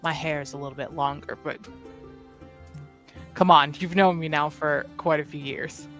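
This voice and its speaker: A woman's voice: low voice, fairly even intonation, moderate pace, fairly bright tone, faint music behind. A woman playing a composed returning noblewoman. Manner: polite, composed. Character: composed noblewoman returning home, polite and warm to family.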